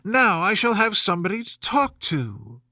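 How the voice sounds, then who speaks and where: Man voicing a high, plucky storybook girl. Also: huge pitch swings, moderate pace, bright, forceful tone, no room sound, studio quality, exaggerated voice. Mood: earnest. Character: Alice, plucky, curious young girl.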